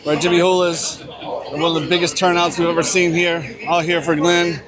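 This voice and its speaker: A man's voice: on the high side, level tone, moderate pace. A man hosting an event, giving an upbeat, announcer-style greeting to camera. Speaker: event host. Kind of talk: quick video intro at an event. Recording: loud crowd chatter behind.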